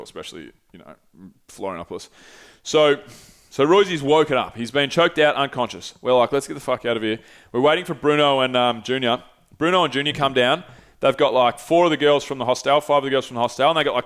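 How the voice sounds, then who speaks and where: Swearing man telling a story. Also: medium pitch. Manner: animated, swearing, gesturing. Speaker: podcast host. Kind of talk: casual storytelling. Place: small podcast studio. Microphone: headset with desk mic.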